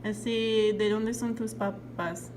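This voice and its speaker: Woman, interviewer, very slow, hesitant, lots of fillers, clear room noise behind. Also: mid-range voice, steady tone. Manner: hesitant, many fillers, self-conscious laughter. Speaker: interviewer, student. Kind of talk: hesitant language-class interview.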